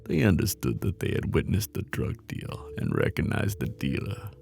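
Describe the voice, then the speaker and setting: A man playing a flirty, menacing mob boss in an intimate ASMR roleplay, with a teasing, cocky drawl and a deep, gravelly voice. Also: sing-song, normal speed, a little mellow, soft background music, heavily acted. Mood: smug, businesslike.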